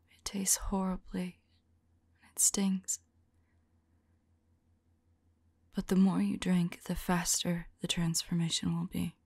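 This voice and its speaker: A woman playing a tender, anxious centuries-old female vampire lover in an intimate, whispered ASMR audio roleplay, speaking softly and haltingly. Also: fairly low pitch, no room sound, heavily acted. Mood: tender, worried. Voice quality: breathy, whispery. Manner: halting, soft, musing to a loved one.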